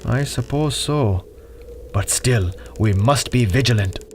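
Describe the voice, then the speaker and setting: A man's voice: mid-range voice, slow pace. A man playing a grave, wary monk who guards secrets, speaking in solemn, formal phrases in a pulp radio adventure. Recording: noisy mix with ambient effects.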